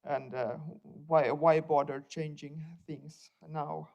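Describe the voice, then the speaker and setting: A man's voice: fairly high pitch, flat delivery, slow pace, a little mellow, highs cut off. A young man from Finland whose first language is Swedish, giving a conference talk as a researcher, hesitant, with many fillers and repeated words.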